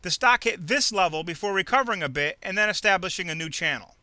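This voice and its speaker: A man reading a scripted instructional narration in a clearly enunciated, measured, teacherly way. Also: high voice, animated, pushed and bright, dry recording. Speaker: narrator of an instructional trading video. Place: close dry voice-over recording.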